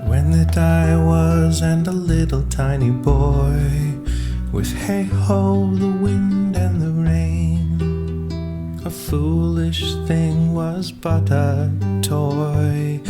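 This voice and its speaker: Woman singing folk tune real slow, loud guitar behind her. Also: sits low. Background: plucked guitar music.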